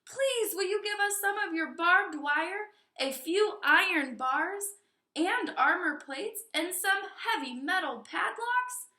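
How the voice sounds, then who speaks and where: A woman puts on the high, bright voice of plucky little wolves in a storybook. The young cubs are polite and defiant, speaking in emphatic sing-song phrases in an echoey room. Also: expressive, slow pace, exaggerated voice. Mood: polite pleading. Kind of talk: children's storybook read-aloud.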